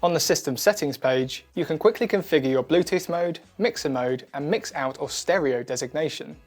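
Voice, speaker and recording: A man with a slightly high voice narrates a scripted product demonstration. He speaks clearly and methodically, walking the listener through instructions step by step. Soft music plays behind him. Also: normal speed. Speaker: product demo presenter. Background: background music.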